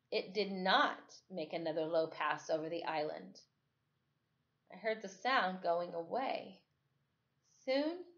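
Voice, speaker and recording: A woman reads a children's novel aloud as a storyteller, with expressive, dramatic and deliberate phrasing. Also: fairly high pitch. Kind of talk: expressive first-person story read-aloud. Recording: dull lo-fi recording.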